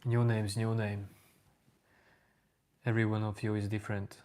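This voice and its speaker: A man with a Latvian accent, hosting a livestream and calmly answering chat questions about self-inquiry. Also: lowish voice. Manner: unhurried, even, reading out chat names. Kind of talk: slow calm spiritual chat.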